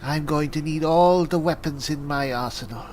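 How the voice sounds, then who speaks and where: A man roleplaying an earnest, worried cleric adventurer in a fantasy game, musing aloud in a halting manner. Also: on the high side, close to an everyday voice. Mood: resolved. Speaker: gamer playing a roleplay. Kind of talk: in-character improvised roleplay narration. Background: music.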